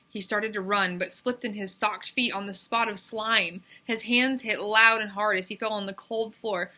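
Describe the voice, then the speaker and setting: A woman reading aloud as a teacher, in expressive, deliberate and suspenseful storybook narration. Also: mid-range voice.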